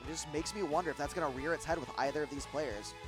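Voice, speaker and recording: A man's voice: on the high side, fast talker. Midwestern man calling a guitar tournament. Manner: rambling, with fillers and restarts. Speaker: tournament commentator. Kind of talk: live esports play-by-play commentary. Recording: guitar game music underneath.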